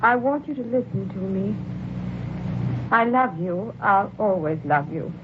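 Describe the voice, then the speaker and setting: An actress playing a despairing, fearful and jealous wife in an old-time radio horror drama, with a melodramatic, fraught delivery. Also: female voice, mid-range voice, unhurried, close to an everyday voice. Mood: resigned, sorrowful. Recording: tinny, noisy vintage radio recording.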